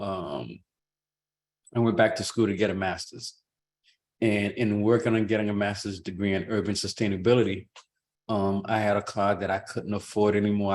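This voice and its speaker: A middle-aged man from Haiti and Boston, casually telling a personal story as a webinar panelist. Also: on the low side. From born in Haiti, grew up in Boston. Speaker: urban cycling project leader.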